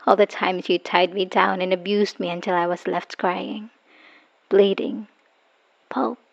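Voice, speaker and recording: A slightly muffled, lo-fi recording of an actress playing a woman worn down by an abusive, obsessive partner. Her voice sits at an average pitch for a woman. She delivers a dramatic audio roleplay monologue, wounded and bitter, with emotional, halting phrasing. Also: flat delivery, gravelly. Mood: bitter, hurt. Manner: emotional, halting, sighing, dropping into whispers. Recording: dull lo-fi voice recording.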